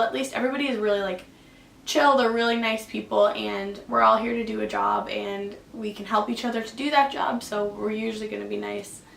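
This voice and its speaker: A young woman from Canada talks casually through viewer questions, her speech full of likes and ums. Her voice sits a bit high with a gravelly fry. The room is echoey, with a faint hum behind her. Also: a bit bright. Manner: chatty, lots of fillers and likes. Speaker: air traffic controller answering viewer questions. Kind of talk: casual Q and A vlog.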